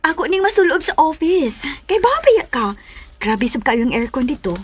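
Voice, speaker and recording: A woman's voice: sits high, very animated, normal speed, dry recording. A woman acting a doting, watchful mother in a Filipino radio drama, with a Bisaya accent. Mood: delighted, doting.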